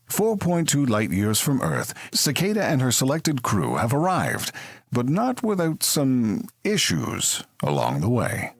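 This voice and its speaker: A man's voice: medium pitch, wildly swooping pitch, a little slow, vocal fry, slightly rough, no echo, exaggerated voice. A man playing a grand narrator and announcer in a comedy space audio drama, with a theatrical, scripted delivery. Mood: grave, epic. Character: grand sci-fi narrator and slick future advertising announcer.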